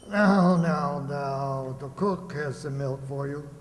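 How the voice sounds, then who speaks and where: A man playing a slow-spoken, kindly captain. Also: a little high. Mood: calm, reassuring. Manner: reassuring, measured. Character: kindly, calm, authoritative sea captain, father of the household. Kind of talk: amateur radio play acting. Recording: echoey hall, long reverb, background noise.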